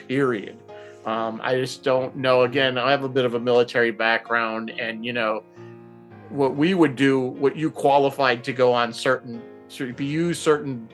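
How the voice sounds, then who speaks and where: A man talking casually as a panelist, giving his opinions in a halting, think-aloud way. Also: mid-range voice, fairly bright tone. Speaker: panelist in a TV show discussion.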